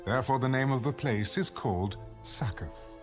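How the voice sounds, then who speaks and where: A man narrating dramatized scripture with measured storytelling. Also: mid-range voice. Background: music.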